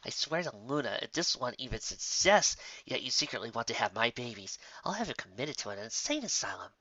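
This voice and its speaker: A man voicing a wry, reassuring young female unicorn in a soft, breathy manner for a story. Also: medium pitch, big pitch swings, a little fast, no echo, highs cut off. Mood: wry, insistent.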